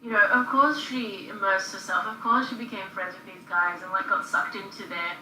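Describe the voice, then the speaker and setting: A woman's voice: mid-range voice, normal speed, sharp tone, roomy sound, recorded from a distance, low-quality recording. A woman talking casually and chattily, swearing freely and leaning on fillers like "you know" and "like". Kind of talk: casual conversation.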